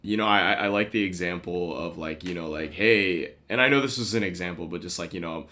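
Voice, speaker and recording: A man's voice: deep voice, brisk, bright, forceful tone. A man in his late twenties, a podcast guest, talking in a hesitant, rambling way full of restarts and filler words. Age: late 20s. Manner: hesitant, lots of "like" and "you know", restarts. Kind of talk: casual chat.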